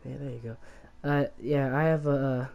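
A man with a slight cough and a voice worn down by illness, telling a rambling story over his gameplay, halting and restarting often. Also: medium pitch, steady tone, creaky, a little mellow, soft background music. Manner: halting, many restarts and fillers, laughs at himself. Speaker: gamer commentating his own gameplay.

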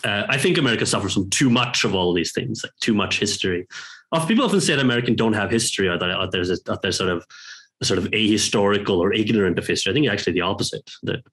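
Low-voiced man, hesitant. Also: lively intonation, a bit bright. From Norway. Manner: hesitant, thoughtful, many pauses and restarts. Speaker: guest, professor. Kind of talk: reflective interview talk. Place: home room with bookshelves. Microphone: headphones on a video call.

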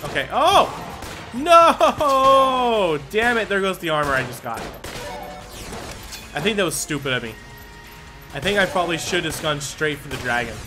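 A young man giving casual, excitable commentary on a game he is playing, swearing now and then and reading the game's dialogue aloud. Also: high voice, normal speed, pauses often. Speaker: gaming video creator. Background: game music.

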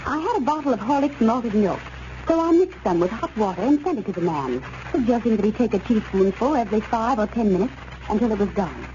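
An actress plays an earnest woman reading a testimonial letter for an old radio advert. Her voice is fairly high yet soft-toned, and her delivery is measured. It sounds muffled, as vintage broadcasts do. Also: expressive, lightly acted. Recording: muffled, hissy 1930s radio recording.

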